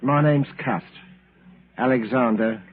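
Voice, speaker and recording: A man's voice: mid-range voice, slow, close to an everyday voice. An actor playing a meek, forgetful failed salesman in a 1940s radio drama, hesitant and apologetic. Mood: wistful, secretive. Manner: timid, apologetic, hesitant, deferential. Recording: tinny, hissy old radio broadcast.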